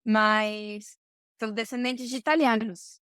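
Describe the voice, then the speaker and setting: High, clear woman. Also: steady tone, slow pace, a bit bright, dry recording, studio quality. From Argentina, Buenos Aires. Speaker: podcast guest, supply chain professional. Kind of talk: conversational interview answers.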